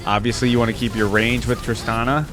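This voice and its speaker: A man's voice: mid-range voice, little pitch movement, quick pace, over music. A young man giving casual reaction commentary as a video host, musing aloud in an informal way.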